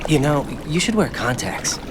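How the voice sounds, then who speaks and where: A calm, patient young rescue operative in a cinematic video game. He is earnest and coaxing, reassuring like someone guiding a frightened person to safety. Noisy watery ambience runs clearly behind him. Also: on the high side, moderate pace.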